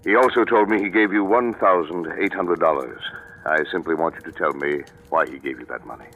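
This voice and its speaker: Very deep, blunt radio attorney. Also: male voice, brisk, fairly bright tone. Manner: brusque, clipped, officious. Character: brisk, blunt, self-important male attorney. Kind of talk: 1940s radio drama acting. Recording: dull vintage radio recording, faint music.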